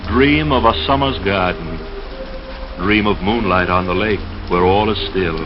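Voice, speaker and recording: A man with a fairly deep voice speaks as a dreamy late-night radio reader of the 1930s. His delivery is slow, solemn and romantic. The sound is muffled, with clear noise and crackle over it. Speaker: radio announcer reading poetry and sponsor message. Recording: dull, noisy vintage radio sound. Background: steady crackle and rain noise.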